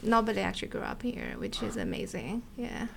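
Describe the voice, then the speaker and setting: A woman's voice: medium pitch, huge pitch swings, brisk, vocal fry, slight background noise. A middle-aged woman from China, a scientist chatting casually in an interview, laughing often and repeating words. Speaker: scientist and podcast guest. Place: her office. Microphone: desk mic.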